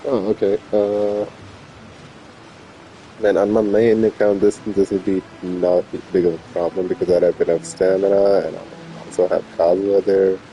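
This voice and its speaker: A man's voice: deep voice, little pitch movement, normal speed, mellow, a little hiss or hum, rough recording. A man giving casual gameplay commentary as a streamer, muttering dry, sarcastic asides. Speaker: streamer playing a video game.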